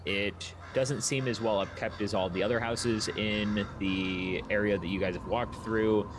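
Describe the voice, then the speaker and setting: A man's voice: lowish voice, steady tone, a little slow, noisy background. A man narrating a tabletop roleplaying game as its game master, describing scenes and asking for checks.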